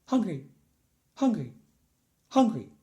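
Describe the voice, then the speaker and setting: A man doing a high-pitched, hollering giant baby. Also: sing-song, slow pace, dark tone, heavily acted. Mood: demanding. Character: huge giant pretending to be a hungry baby. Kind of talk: storybook character voice.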